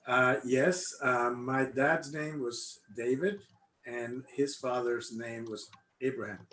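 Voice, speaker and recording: Older male interviewee from Cuba, mid pitch, halting conversational delivery, roomy sound. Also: steady tone, fairly bright tone. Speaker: interviewee, congregation member. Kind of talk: casual personal interview, recollecting. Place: indoor room, online video call. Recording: echoey room, faint background noise.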